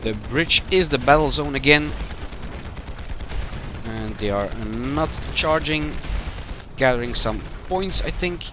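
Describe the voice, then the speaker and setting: A man from Belgium, a native Dutch speaker with a Belgian Dutch accent, commentates a live game stream in halting, short phrases. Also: medium pitch, background noise. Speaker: esports match commentator, community manager.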